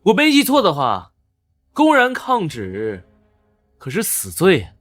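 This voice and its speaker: An actor playing a brash, defiant young official in a Chinese historical comic drama, with a taunting, declamatory manner. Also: male voice, high-pitched, huge pitch swings, slow pace, a bit bright, no room sound, faint music behind, full character voice. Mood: menacing. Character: brash young male official of beggar origin, defiant and commanding.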